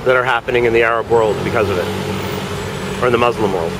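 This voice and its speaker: A man's voice: mid-range voice, steady tone, brisk. A man conducting a street interview, asking short neutral questions. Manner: brief neutral prompts. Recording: clear street noise behind.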